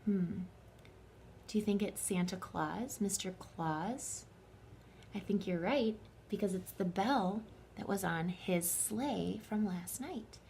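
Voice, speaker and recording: A woman reading a children's story aloud with dramatic, deliberate phrasing and questions put to her listeners. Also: mid-range voice, vocal fry, a little mellow, slight background noise. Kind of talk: expressive children's read-aloud with asides to the listeners.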